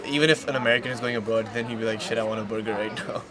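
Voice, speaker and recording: A man's voice: fairly low pitch, steady tone, very fast. Man, young adult, from Pakistan, casual interview, busy crowd behind. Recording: crowd chatter in background. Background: crowd chatter.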